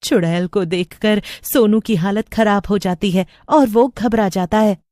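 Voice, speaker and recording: A woman narrating a cartoon horror story in a steady storybook manner. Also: mid-range voice, measured pace, no room sound, studio quality.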